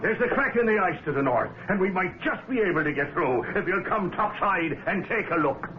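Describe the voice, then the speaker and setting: A frightened ship's mate in a radio play. He is breathless and urgent, his pitch swinging widely. The sound is dull, with heavy noise behind him. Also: animated, fairly quick. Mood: excited. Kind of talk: radio drama acting. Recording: muffled radio recording, loud background noise.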